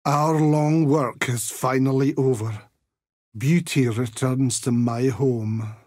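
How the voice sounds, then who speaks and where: A man voicing a devout, grim, righteous old templar in a video game, solemn and preacherly, with a gravelly, husky voice. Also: mid-range voice, steady tone, slow pace, a little mellow, dry recording, studio quality. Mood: solemn, fervent. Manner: solemn, pious, archaic preacherly phrasing. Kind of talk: dramatic video game character voice lines.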